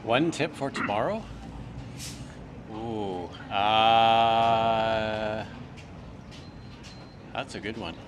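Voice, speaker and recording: A man's voice: lowish voice, very slow, long pauses, a little hiss or hum. A man who runs marathons, guesting on a podcast outdoors and answering with dry, wry wit. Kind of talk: casual interview chat. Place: outdoors on campus steps.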